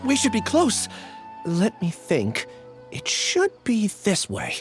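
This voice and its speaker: A man's voice: high-pitched, wildly swooping pitch, slow, over music, heavily acted. A man playing a troubled, apologetic young student in a video game, speaking hesitantly.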